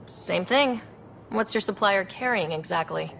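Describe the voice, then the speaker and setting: A young female space explorer and crew leader in a sci-fi game, mid-pitched and casual. She is wry and natural, over noticeable background noise. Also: a little slow, creaky, fairly bright tone. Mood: guarded, dry. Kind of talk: video game dialogue.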